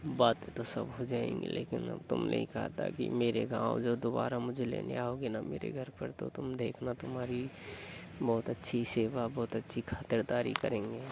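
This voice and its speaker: A young man playing a mildly sulky, teasing newlywed husband in a casual staged phone-call skit, questioning and gently reproachful. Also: mid-range voice, flat delivery, normal speed, creaky. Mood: sulky, probing. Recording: noisy phone call recording.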